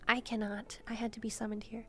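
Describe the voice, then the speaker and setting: A woman's voice: on the high side, level tone, a little fast, slight background noise, close to an everyday voice. A woman voicing a sweet, curious young demon maid, chatting politely and a little hesitantly to the listener in a roleplay. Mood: earnest, explaining.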